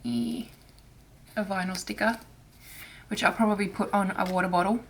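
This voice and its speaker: A woman hosting her own crafting vlog in casual chat, her voice hoarse and raspy from throat irritation, talking softly and haltingly with frequent restarts. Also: medium pitch, steady tone, slight background noise, poor audio. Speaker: cross-stitch vlogger.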